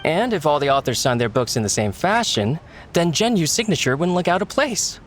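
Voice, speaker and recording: A man's voice: on the high side, sing-song, a little fast, a little hiss or hum. A man playing an earnest, deferential young assistant in a video game, eager and respectful. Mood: eager, relieved. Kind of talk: scripted game dialogue. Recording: faint music behind.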